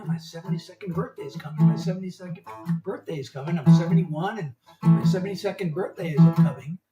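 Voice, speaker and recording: A chatty man in his seventies talks loosely to his livestream viewers, wandering from thought to thought and breaking into laughter. He sits back from the microphone in an echoey room, with guitar music clearly audible behind him. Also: high voice. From United States. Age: 70s. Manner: rambling, chatty, laughs often. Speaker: singer-songwriter. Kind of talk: casual livestream chat. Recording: roomy, distant mic, guitar music behind.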